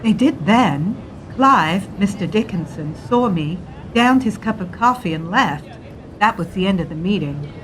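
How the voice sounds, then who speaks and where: A woman's voice: lively intonation, a little slow, lightly acted. A woman playing an earnest, anxious bank worker in a radio play, confiding what she has seen. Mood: grave. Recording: clear rumbling background noise.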